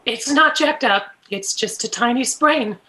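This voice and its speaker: A woman's voice: a little high, bright, forceful tone, low-quality recording. An actress reading a frazzled mother who insists everything is fine and barks orders at her kids in a table read. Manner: brisk, bossy, keeps brushing off pain.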